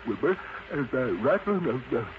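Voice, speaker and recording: A man's voice: mid-range voice, sing-song, normal speed, lightly acted. A man voicing a rustic, boastful old uncle ghost who stammers and rambles in a children's story. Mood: folksy, boastful. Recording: thin phone-like lo-fi audio with noise.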